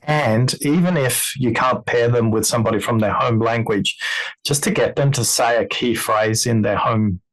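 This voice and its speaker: A man hosts a podcast conversation and speaks in a measured, deliberate way. He coaches his listeners and shapes each point with care. His pitch sits in a middle range. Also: poor audio.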